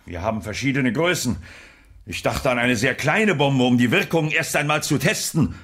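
A man's voice: mid-range voice, animated, moderate pace, fairly bright tone, slight background noise, natural voice. A man playing a grave, resolute military general in a children's science-fiction audio drama, speaking with dramatic emphasis. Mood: explaining gravely.

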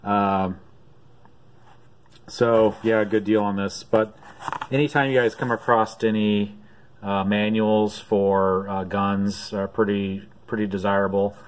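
A man casually showing his auction finds to the camera from behind it, filling his speech with "uh" pauses at home. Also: flat delivery, slight background noise. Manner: unhurried, many "uh" pauses, low-key. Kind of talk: casual off-camera show-and-tell. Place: home room at a desk.

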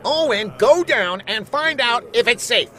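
Man acting a very high-pitched field reporter. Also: animated, measured pace, sharp tone, full character voice. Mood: bossy. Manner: self-important reporting delivery. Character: self-important, scheming male field reporter. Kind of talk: comedy radio play, on-the-spot report.

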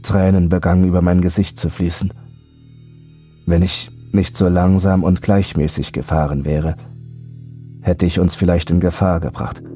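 A man's voice: sits low, flat delivery, normal speed, dark tone. A man reading a scripted first-person horror story as an audiobook narrator. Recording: background music under voice.

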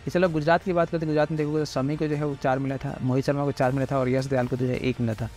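Brief: fast-talking male cricket tipster, mid-pitched, rambling filler-heavy explainer, faint music under. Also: darkish tone. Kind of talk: casual Hindi pitch-report explainer over slides. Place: voice-over on screen recording.

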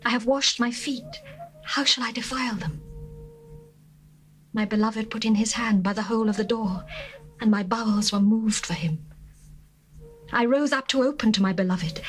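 A woman's voice: on the high side, lightly acted. A woman playing a lovesick young bride. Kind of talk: dramatized scripture reading.

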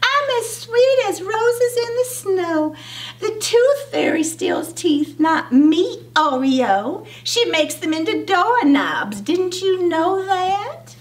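A woman's voice: very high voice, very animated, slow, distant mic, pitched above the performer's own voice, exaggerated voice. A woman voicing a sassy, teasing little country girl in a storybook, with a singsong, taunting, folksy way of talking. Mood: smug, sweet.

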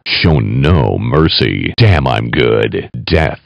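An actor playing a sadistic, wisecracking male killer in a video game, delivering slow deadpan taunts in a gravelly growl. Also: rumbling low, no echo, muffled recording, heavily acted. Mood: gleeful cruelty. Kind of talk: video game one-liners.

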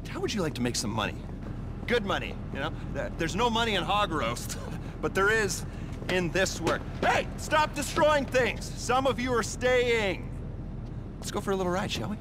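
An actor playing a nervy, evasive, foul-mouthed covert arms dealer in a video game cutscene, rambling and stammering, then abruptly bossy. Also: male voice, high-pitched, big pitch swings, unhurried. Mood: barking, impatient. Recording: clear vehicle and ambient noise.

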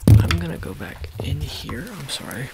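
Heavy noise and small clicks surround a man speaking very close to the mic in a soft ASMR style, often near a whisper. His voice sits at a middling pitch, and he plays a doctor with halting, mumbled, repeated phrases. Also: animated, vocal fry. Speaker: ASMR roleplayer playing an eye surgeon. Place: small dim room, indoors. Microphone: close to the mic, near camera. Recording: noisy close-up home recording with clicks.

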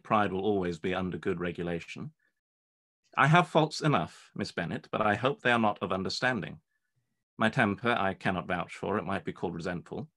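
A low-voiced young gentleman, played by an actor in a period radio play. He is proud and aloof, speaking formally and with measured care. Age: young adult. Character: proud, reserved, haughty young English gentleman. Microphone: video call.

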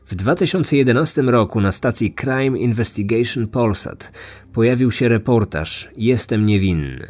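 Man, low voice, scripted true crime narration, podcast host, faint music behind. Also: a little mellow. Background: background music.

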